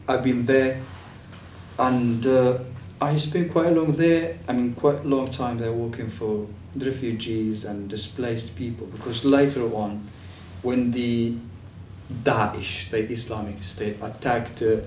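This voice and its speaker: A young man from Syria speaks, recounting his story with pauses, fillers and restarts. He sits some way from the mic in an echoey room, with faint ambient noise behind him. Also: medium pitch, a little mellow, recorded from a distance. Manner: hesitant, many fillers and restarts. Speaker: refugee telling his story. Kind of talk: personal testimony.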